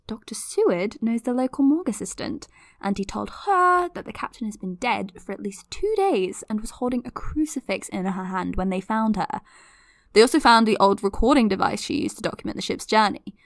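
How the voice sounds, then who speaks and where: Brief: woman playing a curious podcaster, mid-pitch, chatty and teasing, very dry close sound. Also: expressive, normal speed, no echo, natural voice. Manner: chatty, playful, dramatic asides. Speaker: podcast host. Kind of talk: audio drama, chatty podcast monologue.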